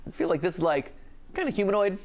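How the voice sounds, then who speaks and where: A man's voice: sits high, sing-song, fast talker, a little mellow, a little hiss or hum. A young adult man giving casual, joking reaction commentary as a show host.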